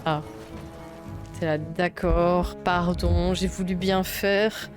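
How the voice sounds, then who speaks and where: Chatty young woman streamer, lowish voice. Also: fairly even intonation, slow pace. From Belgium. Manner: chatty, swears often, thinks aloud. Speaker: streamer playing a video game. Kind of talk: casual let's-play chat. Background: faint game music.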